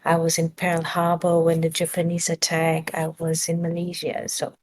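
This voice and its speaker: A woman's voice: sits low, completely flat delivery, moderate pace, a little mellow. Woman giving a searching spiritual talk. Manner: searching for words, rhetorical questions. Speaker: spiritual teacher.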